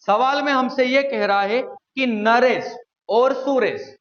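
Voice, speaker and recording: A man's voice: extremely high pitch, dull audio. A man teaching an exam-prep maths lesson, explaining step by step and answering his own questions. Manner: calls viewers "friends" often.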